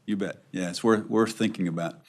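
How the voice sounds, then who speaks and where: A man council member speaking in a friendly, measured, courteous way in a council chamber. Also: on the low side, fairly even intonation. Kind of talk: council discussion.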